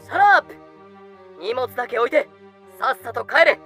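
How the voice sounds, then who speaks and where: A pompous pirate acted by a woman who blusters and gives orders with a sharp, forceful edge. The temper is short and the tone imperious, in a voice drama over soft music. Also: a little high, animated, normal speed. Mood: haughty, scornful. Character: pompous, hot-tempered pirate who bellows insults and threats. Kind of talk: fan-made Japanese voice drama acting.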